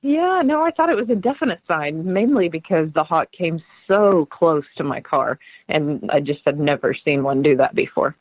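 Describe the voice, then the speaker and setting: A woman's voice: sits low, animated, normal speed, no room sound, rough recording. A middle-aged woman guest on a radio interview, speaking earnestly and laughing often as she tells her stories.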